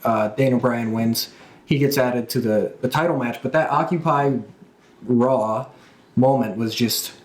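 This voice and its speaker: A man's voice: mid-range voice, measured pace. Man podcasting in an echoey room. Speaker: podcast host. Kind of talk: casual wrestling podcast discussion. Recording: roomy, echoing room sound.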